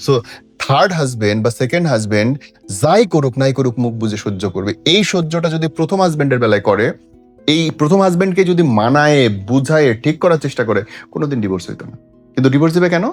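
A man's voice: medium pitch, expressive, fast. A man with a Bangladeshi accent, a host giving opinionated relationship advice in an emphatic, preachy way with rhetorical questions. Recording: music playing behind the voice. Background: background music.

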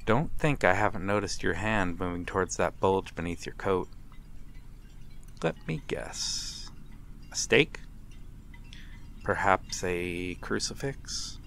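A man playing a courteous, melancholy vampire in a dramatic ASMR roleplay, speaking formally and with measured pauses. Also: low-pitched, a bit bright, exaggerated voice. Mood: calm, knowing. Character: courteous, melancholy male vampire, lonely and mysterious. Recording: clear background ambient noise. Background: night harbor ambience.

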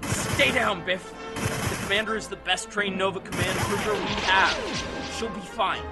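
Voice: mid-range voice, fairly even intonation, moderate pace, loud music behind the voice, close to an everyday voice. An actor playing an urgent, exasperated professor in an old-time radio space serial. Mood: urgent, hushed.

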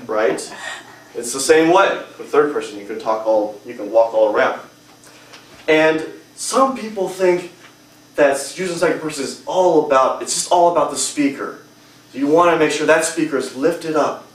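Young man with a strong, emphatic voice. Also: medium pitch. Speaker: speaker at a public speaking club. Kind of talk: prepared speech with humor. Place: hotel meeting room. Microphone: far camera. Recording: echoey room from far off.

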